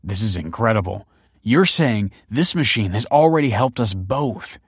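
A weary, evasive family man in an audiobook story, played by a male reader. He sounds placating and a little defensive, in a lowish voice with a dry recording. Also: normal speed, no echo. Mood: curious, doubtful. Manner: hesitant, placating.

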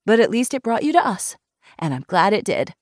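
A woman's voice: mid-range voice, very animated, fairly quick, no echo, studio quality, pitched above the performer's own voice, natural voice. A woman voicing an outrageous, blunt, bubbly woman doctor in a novel, playful and emphatic. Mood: earnest. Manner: playful, emphatic, mock-dramatic.

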